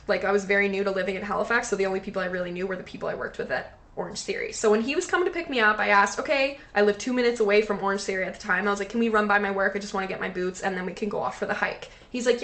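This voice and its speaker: A young woman co-hosting a podcast, telling a story in a casual, animated way with a lowish voice. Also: fast talker. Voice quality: lower-pitched, not high voice. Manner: animated, swearing, acts out quoted voices. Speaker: podcast co-host.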